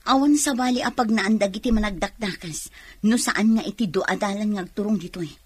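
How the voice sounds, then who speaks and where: An actress playing an alert, resolute policewoman in an Ilocano radio drama, with a husky voice and a Filipino Ilocano accent. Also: mid-range voice, a little fast, a little mellow, lightly acted. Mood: focused.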